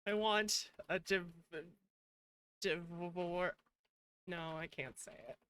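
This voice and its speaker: A woman's voice: on the low side, fairly even intonation, slow, a bit bright, smooth. A woman chatting casually on a livestream in a playful way, swearing freely and muttering to herself. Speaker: streamer, VTuber host.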